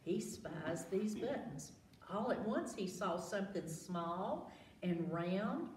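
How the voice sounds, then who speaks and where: Female children's storytime reader, very expressive and unhurried, engaging listeners, recorded at a distance in a roomy space. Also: on the low side. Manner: animated, asks listeners questions, exclaims. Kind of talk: read-aloud picture-book storytelling to children. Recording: roomy, distant mic, faint background noise.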